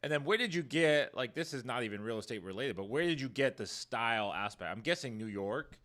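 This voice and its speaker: A man's voice: mid-range voice, expressive, fairly bright tone. A man hosting a podcast, asking questions in an eager, chatty way. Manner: eager, chatty, quick to laugh. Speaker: podcast host. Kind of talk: casual interview questions.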